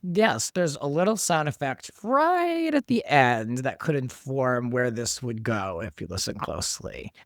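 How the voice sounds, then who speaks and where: A man speaks casually as a creator being interviewed about his production work. His pitch is middling. The recording is close and dry, with little room sound. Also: very animated, measured pace, few pauses, no echo. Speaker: audio drama creator, interview guest.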